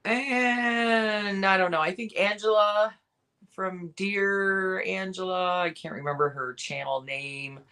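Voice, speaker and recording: A hoarse woman with a cough, hosting a casual live sale and chatting in a rambling way with many pauses and restarts. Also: medium pitch, fairly even intonation, bright, forceful tone. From Michigan, USA. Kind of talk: casual live-stream chat.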